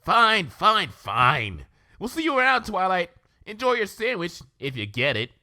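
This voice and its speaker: A man's voice: high voice, huge pitch swings, measured pace, pushed and bright, dry recording, studio quality, pitched above the performer's own voice, exaggerated voice. A man voicing a brash young female cartoon pegasus in a story reading, blunt, casual and grumbling. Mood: grudging.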